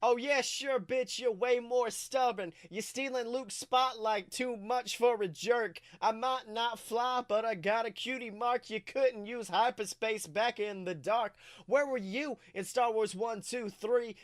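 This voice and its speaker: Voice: mid-range voice, a little slow, few pauses, no room sound, clean studio sound, pitched above the performer's own voice, close to an everyday voice. A podcaster voicing a feisty young cartoon pony girl who raps sassy insults in rhythm. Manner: rhythmic rap delivery, sassy.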